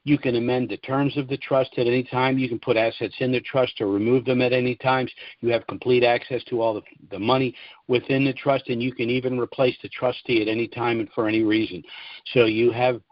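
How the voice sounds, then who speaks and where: Call host, male, measured and businesslike, lo-fi dry audio. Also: mid-range voice, level tone, no room sound. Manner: businesslike, spells out contact details slowly. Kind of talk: conference call Q and A.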